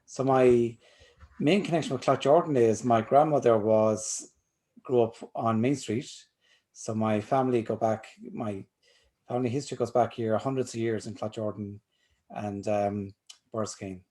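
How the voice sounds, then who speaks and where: A man from North Tipperary with an Irish accent speaks as a guest in a chat, in a measured way, often pausing on "I suppose". His voice sits at an ordinary middle pitch. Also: fairly even intonation, mellow. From North Tipperary, Ireland. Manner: thoughtful, measured, uses "I suppose". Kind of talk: casual interview answers.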